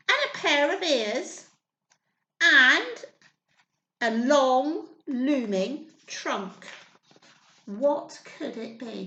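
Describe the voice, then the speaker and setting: A woman reading a story aloud to small children in an expressive, encouraging way, often asking questions. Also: sits high. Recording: roomy echo, faint background noise.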